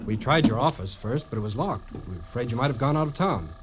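A man's voice: medium pitch, lively intonation, fast talker, natural voice. An actor playing a courteous, deferential male chauffeur, formal in manner, in a 1940s radio drama. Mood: courteous, pressing. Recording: muffled noisy old radio recording.

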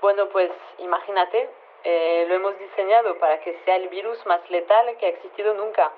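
A woman's voice: mid-range voice, normal speed, a little hiss or hum. An actress playing a matter-of-fact, slightly flustered female lab chief, hesitant and rambling, interviewed over a telephone line. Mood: matter-of-fact, excusing. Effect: telephone filter. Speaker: scientist interviewed by phone. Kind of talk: conversational radio phone interview. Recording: tinny narrow phone line.

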